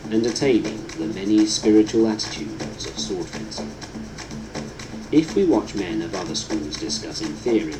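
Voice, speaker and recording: A man reading a book aloud as scripted narration, in a solemn, deliberate, instructive manner. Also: fairly low pitch, low-quality recording. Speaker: audiobook narrator. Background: prominent instrumental music.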